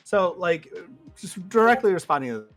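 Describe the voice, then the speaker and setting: A man's voice: high-pitched, animated, normal speed, a bit bright, soft background music. A man on a casual online panel, responding directly and swearing casually. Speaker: panel guest.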